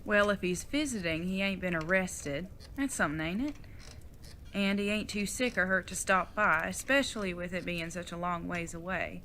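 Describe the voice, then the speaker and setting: A woman's voice: lowish voice, moderate pace, bright, forceful tone, a little hiss or hum. A woman acting in an audio drama as a retired outlaw mother, older, fond and teasing, with a folksy Old West way of talking. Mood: musing, fretful.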